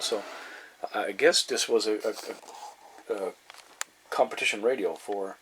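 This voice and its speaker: A man talks off the cuff, like a collector showing an item to fellow hobbyists. His delivery is halting and wanders back over the same points. Faint background noise sits under the voice. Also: low voice, fairly bright tone, low-quality recording. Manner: halting, meandering, repeats himself. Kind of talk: casual unscripted show-and-tell narration. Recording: clear background noise, room ambience.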